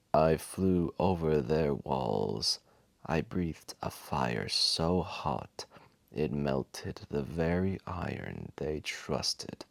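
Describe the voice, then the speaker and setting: Ancient male dragon: weary, imposing storyteller, gravelly and breathy, swooping intonation, close dry sound, audio roleplay. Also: extremely low pitch, dry recording, heavily acted. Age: old. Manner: grandiose, unhurried, dramatic pauses.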